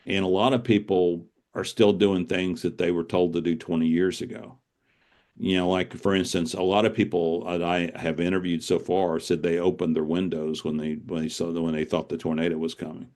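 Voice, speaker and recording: A man's voice: low-pitched, flat delivery, normal speed, a little mellow. A man speaking as an interviewed author, explaining things step by step in an informative, conversational way.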